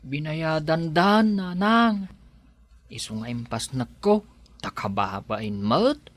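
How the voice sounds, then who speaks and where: A man playing an earnest young husband and son in a radio drama, with a bright, forceful voice. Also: fairly high pitch, lively intonation, slow. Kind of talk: Ilocano radio drama acting.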